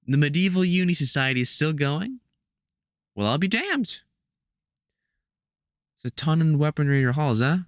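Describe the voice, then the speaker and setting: A man playing a gruff, protective veteran vampire hunter in an audio roleplay, talking hesitantly and with light sarcasm to the listener. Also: on the high side, animated, normal speed, pauses often, no room sound, studio quality, close to an everyday voice. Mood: amused, chatty.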